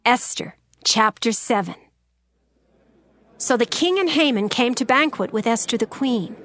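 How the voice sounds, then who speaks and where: A woman narrating a dramatized scripture reading in a measured, formal way. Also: on the high side, expressive, fairly bright tone.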